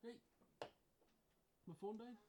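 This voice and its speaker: A man co-hosting a podcast with casual, jokey banter, his voice slightly raspy from being under the weather. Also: high-pitched, steady tone, slow pace, noisy background. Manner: playful, jokey. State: recovering from illness, slightly raspy. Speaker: podcast co-host.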